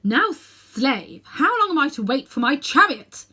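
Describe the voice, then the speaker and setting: A woman's voice: high-pitched, big pitch swings, measured pace, bright, forceful tone, voice pushed up, full character voice. A woman reading a storybook, voicing an imperious witch queen who commands with haughty contempt. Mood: impatient, thundering. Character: imperious, thundering witch queen, tall and menacing.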